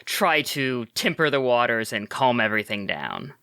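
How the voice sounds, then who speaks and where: Man, tabletop player, bright voice, dry close recording, thinks it through. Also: medium pitch, sing-song, moderate pace, clear, resonant tone, no echo. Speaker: player and guest. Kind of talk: collaborative planning for a tabletop game.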